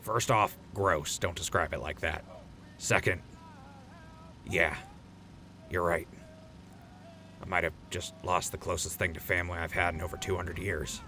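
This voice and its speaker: A man acting in an audio drama as a world-weary, sarcastic wasteland radio host, gruff and casual. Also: deep voice, fairly even intonation, measured pace, pauses often, background noise. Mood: guilty, irritated.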